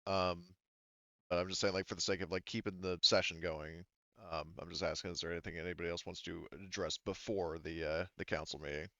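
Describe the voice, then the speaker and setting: A man's voice: low-pitched, steady tone, fairly quick, a bit bright, no room sound, highs cut off. A man running a tabletop game session as game master, talking casually in a rambling, hesitant way. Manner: rambling, hesitant, often repeats "you know" and "like".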